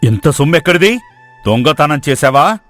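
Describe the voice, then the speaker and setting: A man's voice: a little high, big pitch swings, normal speed, a bit bright, faint music behind. A man playing a greedy, threatening moneylender villain with a sneering manner in a moral story. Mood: suspicious. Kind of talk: acted moral story dialogue.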